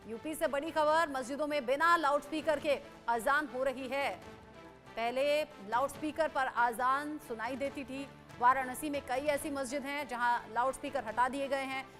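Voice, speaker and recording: A woman's voice: high voice, fairly bright tone. A woman anchoring live breaking news in an emphatic, repetitive way and putting questions to a correspondent. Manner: emphatic, repeats key points.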